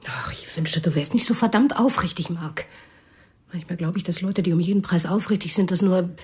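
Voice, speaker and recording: An anxious, suspicious wife. Her voice is rather low and very husky, often close to a whisper. She probes softly and hesitantly, in the manner of radio drama. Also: a little fast. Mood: hurt, probing. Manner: hesitant, questioning. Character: anxious, probing wife, soft-spoken and suspicious.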